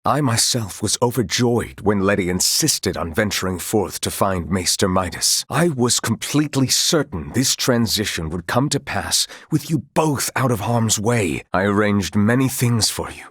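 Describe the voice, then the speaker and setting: A noble royal knight commander from a video game, real low husky voice, formal old-timey courtly talk, dry close sound. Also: male voice, lively intonation, a bit bright, no room sound. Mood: grave. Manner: formal, archaic, measured courtly speech.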